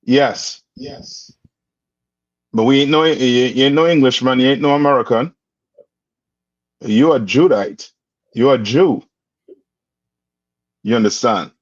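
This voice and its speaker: A man's voice: mid-range voice, no room sound. A man leading a religious class, quizzing his students and prodding them for answers. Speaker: class instructor. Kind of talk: call-and-response religious class. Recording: dull, dry lo-fi recording.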